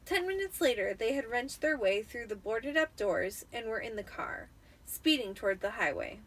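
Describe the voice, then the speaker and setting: A woman's voice: fairly high pitch, expressive, normal speed, a bit bright. A tipsy woman giving an amateur dramatic reading of a storybook. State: tipsy after drinking wine.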